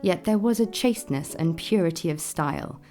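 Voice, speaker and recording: An actress voicing a formal Victorian newspaper critic, reading deliberately in a radio play. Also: female voice, fairly low pitch, expressive, mellow, soft background music, close to an everyday voice. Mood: formal, appraising. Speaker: reader of newspaper notices. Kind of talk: dramatised reading of 19th-century newspaper.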